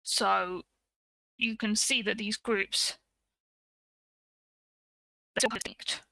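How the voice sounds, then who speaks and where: A woman's voice: mid-range voice, steady tone, very slow, creaky, pushed and bright, dry recording, poor audio. A woman, explaining things casually as a co-host in an informal talk.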